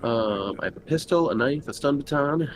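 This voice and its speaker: A man's voice: medium pitch, lively intonation, moderate pace, rough recording. A man chatting casually as a player in a tabletop roleplaying game. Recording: faint background noise.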